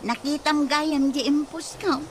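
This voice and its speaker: A woman's voice: sits high, fairly even intonation, measured pace. A woman acting a coy, sassy young woman in an Ilocano radio comedy drama, with an Ilocano accent. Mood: indignant. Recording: very noisy street ambience, birds and vehicles.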